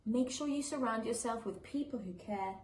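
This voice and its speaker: A woman's voice: on the high side, moderate pace, darkish tone, recorded from a distance, natural voice. A woman voicing a caring, loyal young friend in a children's storybook, earnest and reassuring in rhyme. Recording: echoey room with faint background noise.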